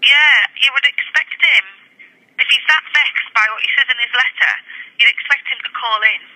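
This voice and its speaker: A woman's voice: sits high, moderate pace, bright, forceful tone. A British woman working in customer service, speaking in a colloquial, blunt way on a tinny voicemail phone recording. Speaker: customer service executive office staffer. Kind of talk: phone message and casual office chat. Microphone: telephone.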